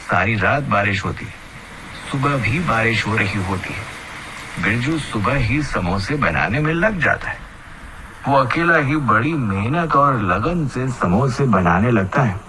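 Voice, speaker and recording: A man's voice: mid-range voice, lively intonation, unhurried, noisy background. A man narrating a scripted children's cartoon story as its storyteller.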